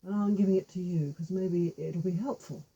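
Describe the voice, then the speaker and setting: A woman voicing a grateful, generous female crow in a folk tale, gently and earnestly. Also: lowish voice, moderate pace, breathy edge, muffled recording, voice pushed down.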